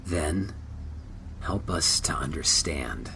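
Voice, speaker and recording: A man playing a steady, probing interrogator among a crew in a naturalistic audio drama, measured and questioning. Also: a bass voice, a little hiss or hum, exaggerated voice.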